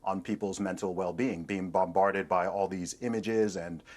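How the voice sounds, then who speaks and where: A man appearing as a guest on a TV debate show, giving measured commentary that builds its points as a list. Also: low-pitched. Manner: steady, builds points in lists.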